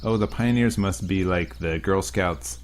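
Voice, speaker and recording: A young man with a notably deep voice hosts a let's play, reading a visual novel's story aloud. He breaks off into crude jokes and wisecracks between the lines, with faint noise behind. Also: unhurried. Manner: crude joking asides.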